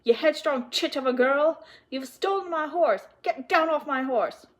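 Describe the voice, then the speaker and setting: Storybook villain: cruel, scornful man who snarls and shouts threats, acted out by a woman reader. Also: mid-range voice, moderate pace, natural voice. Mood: furious, shouting.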